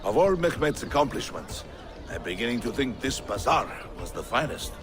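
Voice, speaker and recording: An actor playing an arrogant, suspicious Janissary captain in a video game, commanding and sly. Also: male voice, mid-range voice, very animated, normal speed, over music, close to an everyday voice. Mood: imperious. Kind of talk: dramatic video game acting.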